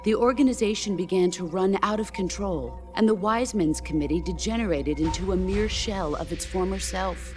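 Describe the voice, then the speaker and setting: An actress playing a stoic, battle-hardened middle-aged soldier and mentor in a game cutscene, speaking gravely and deliberately. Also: female voice, mid-range voice, lightly acted. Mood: grave, intense. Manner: measured, deliberate, grave delivery. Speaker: video game character. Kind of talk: dramatic cutscene monologue. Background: orchestral music.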